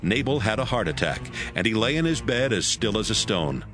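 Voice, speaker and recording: A man narrating a scripted audio Bible as a story, in a measured storytelling manner. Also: lowish voice, sing-song, over music.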